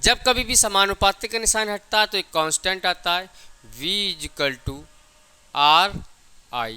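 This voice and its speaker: A man's voice: high-pitched, pushed and bright, smooth. A man teaching a physics lesson, dictating definitions, repeating key phrases and answering his own questions. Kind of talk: classroom lecture with dictated definitions.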